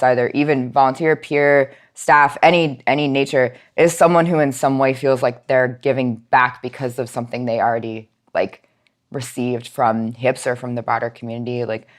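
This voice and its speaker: A woman being interviewed as a guest, talking informally with many "likes" and emphatic agreement. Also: rumbling low. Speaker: podcast guest, nonprofit outreach and education manager. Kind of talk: casual interview chat.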